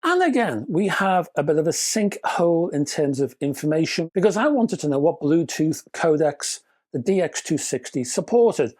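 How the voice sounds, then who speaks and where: A man's voice: fairly high pitch, very animated, normal speed, a little mellow. A British man narrating a scripted product review with chatty, wry asides and emphatic phrasing.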